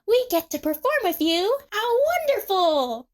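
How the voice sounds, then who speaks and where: A woman voicing a bubbly, innocent, excitable young girl performer with a squeaky, gushing delivery in a story reading. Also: normal speed. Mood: overjoyed.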